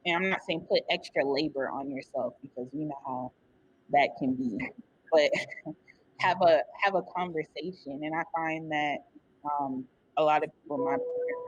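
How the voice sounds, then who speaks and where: A woman speaking as a panelist in a discussion, soft-spoken and hesitant, with frequent fillers. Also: low voice, steady tone, normal speed, slight background noise. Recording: muffled lo-fi audio.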